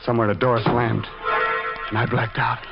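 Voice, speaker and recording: An actor playing an earnest amateur detective, narrating and talking in tense 1940s radio mystery style on an old recording. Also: male voice, mid-range voice, little pitch movement, normal speed, vocal fry, close to an everyday voice. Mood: tense, dazed. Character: earnest amateur sleuth narrating his own ordeal. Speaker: narrator and protagonist. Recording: muffled old radio recording over music.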